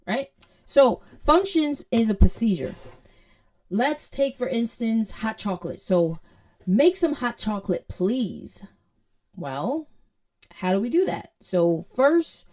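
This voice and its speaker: A woman's voice: mid-range voice, darkish tone. A woman from Jamaica with a Jamaican accent, teaching a coding lesson in a playful, chatty way and often checking in with her listeners. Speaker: coding tutor. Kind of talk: casual screen-share tutorial.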